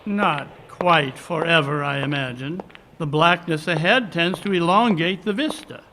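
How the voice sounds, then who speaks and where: An actor playing a courteous, precise, dryly witty English gentleman detective in a live radio mystery. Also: on the high side, unhurried, slight background noise. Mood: measured, inquiring. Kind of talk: old-time radio drama acting on stage. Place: live stage performance at a conference.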